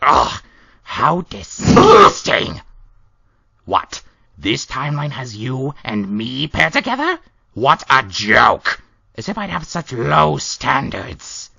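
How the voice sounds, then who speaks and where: A theatrical cartoon skeleton, gravelly and forceful, with pitch leaping all over. The lines are slow and declaimed with great exaggeration, in slightly muffled lo-fi sound. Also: sing-song, no echo, full character voice. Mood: disdainful. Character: dramatic skeleton monster, swinging between haughty and eager. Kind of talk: comic dub acting. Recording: dull lo-fi audio.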